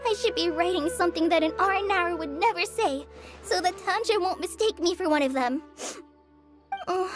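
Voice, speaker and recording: Very high-voiced, flustered young ranger girl. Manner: hesitant, stammering, flustered. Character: shy, anxious young female forest ranger. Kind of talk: video game character acting. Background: background music.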